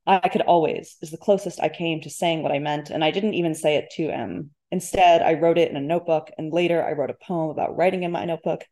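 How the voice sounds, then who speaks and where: A woman author reading literary first-person fiction aloud in measured, deliberate phrases. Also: low-pitched, fairly even intonation, dark tone. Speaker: debut author, guest.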